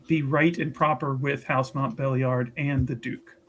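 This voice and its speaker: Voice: medium pitch, steady tone, unhurried, pitched below the performer's own voice, lightly acted. A player voicing an earnest, devout religious sister who speaks formally and carefully in a tabletop game. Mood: polite, dutiful. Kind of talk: tabletop roleplay in character. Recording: clear background noise.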